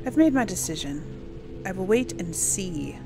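A woman's voice: mid-range voice, expressive, unhurried, over music. A woman hosting a casual role-play gaming video, commenting informally and thinking aloud as she plays. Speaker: gaming video host and player.

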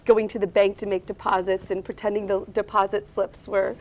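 A middle-aged woman interviewing a guest, asking gentle prompting questions. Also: medium pitch, little pitch movement, brisk, low-quality recording.

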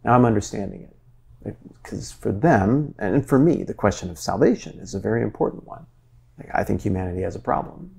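A man in his forties interviews a guest with a gravelly, somewhat husky low voice. He talks earnestly and thinks out loud as he frames his questions, recorded close in a quiet library room. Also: a little fast. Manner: earnest, searching, animated with hands. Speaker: interviewer, show host. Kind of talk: conversational interview. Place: wood-panelled library with bookshelves.

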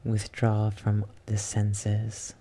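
A soft-spoken meditation guide leading an ASMR yoga nidra session in a soothing, unhurried manner with long pauses. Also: mid-range voice, completely flat delivery, slight background noise.

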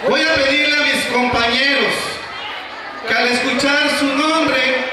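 A man with a Mexican accent, a preschool director, giving a slow, heartfelt ceremony speech and raising his voice to carry over the event. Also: extremely high pitch, steady tone, background noise. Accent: Mexican Spanish. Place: covered school patio at a crowded graduation. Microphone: handheld mic through PA, filmed from afar. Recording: echoey PA sound from far off, loud crowd.

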